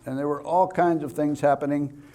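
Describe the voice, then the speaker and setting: An older man giving a security talk as an expert speaker, in deliberate, measured phrases with pauses. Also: on the high side, fairly even intonation, dark tone. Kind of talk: public training talk with personal anecdotes.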